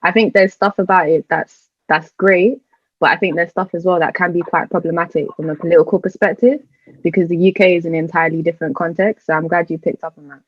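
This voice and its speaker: Low-voiced young British woman, dull recording. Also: fairly even intonation, quick pace, dry recording. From born in Venezuela, in the UK from age three. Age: young adult. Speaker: podcast guest. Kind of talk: casual introduction and discussion. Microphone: remote video call.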